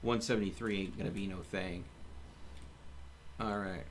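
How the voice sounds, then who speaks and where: A man's voice: low voice, fairly even intonation, normal speed. A man doing casual live gameplay commentary as a streamer, thinking aloud and muttering to himself. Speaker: game streamer. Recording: clear background noise.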